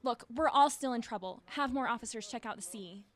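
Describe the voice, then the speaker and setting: A woman's voice: a little high, fairly quick, natural voice. A young woman playing a brave, protective teenage girl in an audio drama, urgent, earnest and defiant. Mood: urgent, pleading. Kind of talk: scripted audio drama acting.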